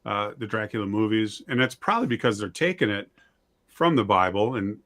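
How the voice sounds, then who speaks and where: Guy with a lowish voice, chatting casually, kinda halting, storytelling as he goes. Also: sing-song, a bit bright. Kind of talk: casual discussion.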